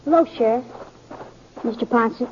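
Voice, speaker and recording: A woman voicing an earnest, halting young farm boy in a 1950s Western radio drama. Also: a little high, slight background noise, close to an everyday voice. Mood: eager. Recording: dull old radio recording.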